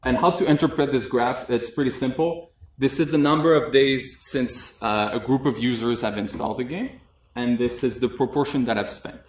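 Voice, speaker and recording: A young man presents at a conference in a relaxed, chatty way, sprinkling in light jokes and "uh" fillers. His voice sits at a middle pitch and is picked up by a desk mic from a little way off. The room has a noticeable echo. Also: moderate pace. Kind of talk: technical conference talk. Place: conference room with audience, indoors. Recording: echoey room, mic at a distance.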